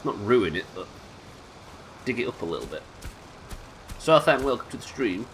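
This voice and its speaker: A man with a nasal voice, a little hoarse from illness, chatting wryly and swearing casually while streaming a game live. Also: mid-range voice, sing-song, moderate pace, fairly bright tone, slight background noise, rough recording. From United Kingdom. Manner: chatty, wry, swears casually, muttering asides. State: sick, slightly hoarse.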